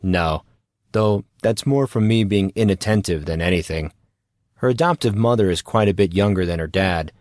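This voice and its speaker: Guy doing a laid back teen boy, low voice, casual n matter of fact, story reading. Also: normal speed, darkish tone, no room sound, studio quality, close to an everyday voice. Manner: casual, offhand.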